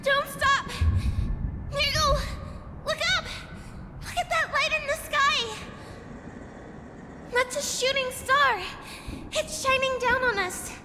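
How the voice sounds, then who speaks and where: A woman's voice: very high-pitched, steady tone, slow pace, long pauses, in a large hall, background noise. A woman voicing a young girl fleeing slavery in a game cutscene, urging her friend to keep running. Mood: urgent. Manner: urgent, pleading. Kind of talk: video game cutscene voice acting.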